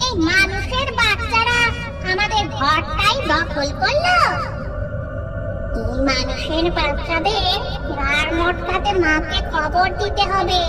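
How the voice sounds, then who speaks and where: A woman's voice: very high-pitched, distant mic, exaggerated voice. A woman voicing a spiteful, greedy, menacing female ghost in a cartoon. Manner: menacing, scheming. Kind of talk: cartoon character dubbing.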